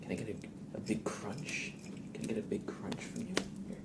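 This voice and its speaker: Soft, low-voiced man, often whispering. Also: expressive, normal speed. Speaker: ASMR livestream host. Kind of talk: whispered, chatty live ASMR. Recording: clear background ambient noise.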